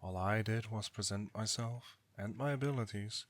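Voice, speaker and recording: Man acting a proud, cold, low-voiced god. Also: no echo, natural voice. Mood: scornful. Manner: formal, haughty, measured rhetoric. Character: proud, cold, disdainful male god, estranged brother. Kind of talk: scripted audio roleplay drama acting.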